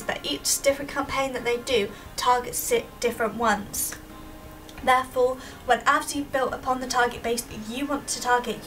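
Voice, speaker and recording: A woman's voice: high-pitched, a bit bright. A young woman giving a prepared student presentation in an earnest, explanatory, emphatic way. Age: young adult. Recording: distant microphone with audible background noise.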